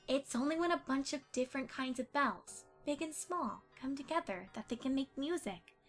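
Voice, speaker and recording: A woman's voice: high voice, normal speed, soft background music, close to an everyday voice. A woman playing an earnest, bookish young female pony who explains things precisely, in an amateur audio drama. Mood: earnest, wistful.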